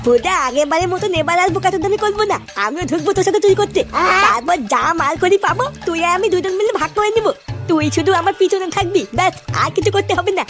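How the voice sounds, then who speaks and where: A woman's voice: very high voice, fast, faint music behind. A woman voicing a brash, bossy, foul-mouthed young male village thief in a crude comic cartoon. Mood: brash, scheming.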